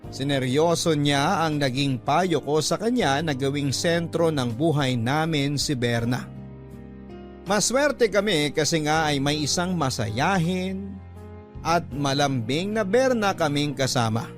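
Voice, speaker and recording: Male narrator, slightly higher pitch, earnest radio-drama letter reading, strong music bed. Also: lively intonation, unhurried. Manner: earnest storytelling, reading a woman's letter in first person. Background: background music.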